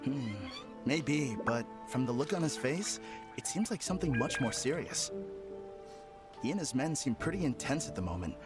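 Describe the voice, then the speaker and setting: Male actor, gossiping townsman, concerned, video game dialogue, mid pitch, music underneath. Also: lively intonation, moderate pace, close to an everyday voice. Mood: concerned, curious.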